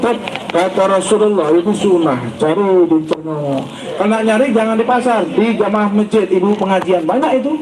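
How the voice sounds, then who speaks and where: A man's voice: high voice, fairly quick, a crowd in the background. A middle-aged man, an ustaz giving a joking sermon to school students and quizzing them. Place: school event hall. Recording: echoey hall, background noise and traffic.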